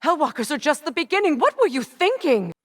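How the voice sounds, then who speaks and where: An actress playing a proud, wounded, vengeful Norse goddess queen in a video game, speaking with imperious, deliberate intensity. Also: high-pitched, sing-song, background noise. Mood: stern, commanding. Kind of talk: dramatic video game acting.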